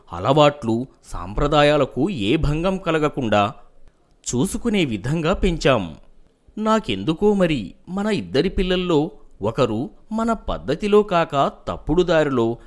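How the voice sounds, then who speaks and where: A man voicing a grave, worried landlord father with strict traditional values in an animated moral story. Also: fairly high pitch. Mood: troubled.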